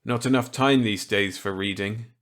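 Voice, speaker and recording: A man's voice: on the low side, moderate pace, a bit bright, natural voice. Man acting a stiff, uneasy government scientist. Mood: stiff, guarded. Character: uneasy, resentful government scientist, earnest and easily shocked.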